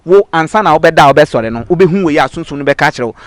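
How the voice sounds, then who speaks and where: A man's voice: on the high side, expressive, racing, no room sound. A man with a Ghanaian accent and Twi as his native language, explaining in an interview in an emphatic, repetitive teaching manner. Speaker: babalawo spiritual practitioner, interviewee.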